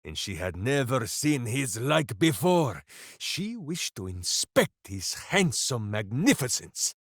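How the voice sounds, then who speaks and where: A man's voice: medium pitch, big pitch swings, slow pace, no room sound, clean studio sound, heavily acted. A man voicing a big-hearted, simple-minded heroic warrior in a video game, bombastic and earnest, speaking of himself in the third person. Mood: fond, wondering. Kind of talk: video game character voice acting.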